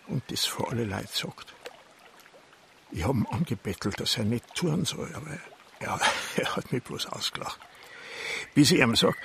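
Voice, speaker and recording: An actor playing an old farmer in a radio drama, telling his story plainly and haltingly in a creaky voice with a Bavarian accent. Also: medium pitch, fairly bright tone, a little hiss or hum, exaggerated voice. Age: older.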